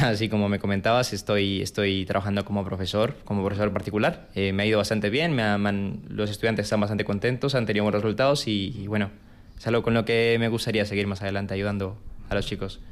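Soft-spoken young Colombian man, low steady voice. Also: clean tone. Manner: modest, hesitant, repeats words as he restarts. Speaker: interviewee, refugee and private tutor. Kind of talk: casual interview answers.

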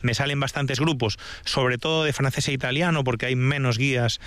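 A man's voice: mid-range voice, steady tone, fairly quick, a bit bright, dry recording. A man from Galicia, a tour guide, explaining a walking route with enthusiasm on an outdoor trail. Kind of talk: casual outdoor conversation.

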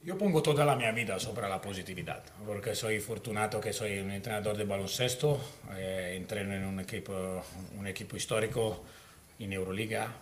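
A man with an Italian accent, a basketball coach answering press questions haltingly in a second language, hunting for words. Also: fairly low pitch, slight background noise. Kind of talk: post-game press conference answers. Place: press room.

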